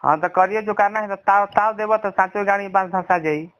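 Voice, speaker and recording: A high-voiced man speaks with a Bhojpuri accent in a recorded phone call. He is blunt and scolding, with crude, profane language in a heated personal exchange. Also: a little fast, a bit bright.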